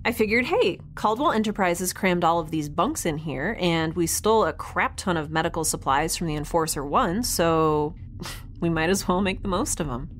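Wry, low-pitched young woman actor in space. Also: expressive, normal speed, slight background noise, close to an everyday voice. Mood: chatty, wry. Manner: sardonic, hesitant, rambling. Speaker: narrator and lead of a sci-fi audio drama. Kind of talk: conversational audio drama acting.

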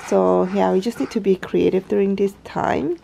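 A woman's voice: mid-range voice, normal speed, vocal fry, dark tone, a little hiss or hum. A young mother chatting through a home vlog in her living room, explaining toys step by step in a casual, talkative way, recorded on a handheld camera. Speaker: parenting vlogger, mother. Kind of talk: casual how-to vlog narration. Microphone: handheld camera filming from above, speaker off screen.

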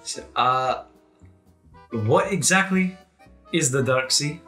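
A man plays a tabletop role-playing game in a casual way. His voice is bright and average in pitch, recorded in an echoey space over soft music. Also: expressive, slow pace. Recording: echoey room, music behind.

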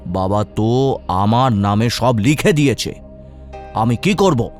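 A man's voice: mid-range voice, animated, slow pace, faint music behind. A man playing a greedy, calculating, bossy elder brother in a moral-story cartoon. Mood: stern, defensive.

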